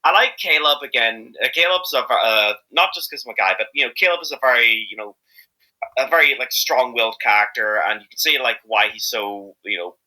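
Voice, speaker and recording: Male hobby voice actor as interviewee: mid-pitched, strikingly bright, jokey, halting delivery. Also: poor audio. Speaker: amateur voice actor, interview guest. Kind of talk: casual interview chat.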